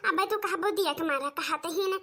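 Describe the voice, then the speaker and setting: Squeaky, childlike cartoon village woman, Awadhi-accented. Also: moderate pace, bright, forceful tone. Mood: exasperated. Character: excitable village woman begging her sister-in-law for remedies. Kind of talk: comic cartoon dialogue in rural dialect.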